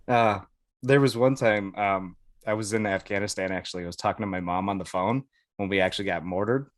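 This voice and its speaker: An American man with a fairly low voice tells stories as a podcast guest. His delivery is casual and colloquial, with an amused edge. The sound is very dry, with no room around it. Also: moderate pace, long pauses, no room sound. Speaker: podcast guest, Air Force veteran. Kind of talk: casual anecdotal storytelling.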